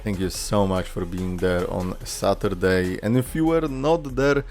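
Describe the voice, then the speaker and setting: A man's voice: sits low, unhurried, a little mellow, faint music behind. A man giving casual, informal reaction commentary as a YouTuber, thinking aloud and swearing mildly. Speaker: YouTuber, streamer.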